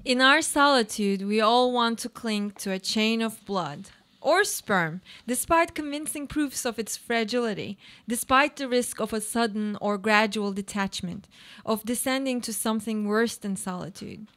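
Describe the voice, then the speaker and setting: A cynical, uneasy wife in a radio play, acted by a woman. Her voice sits fairly high, and she delivers brooding monologues in a deliberate, theatrical, poetic manner. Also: a bit bright. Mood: uneasy, reflective.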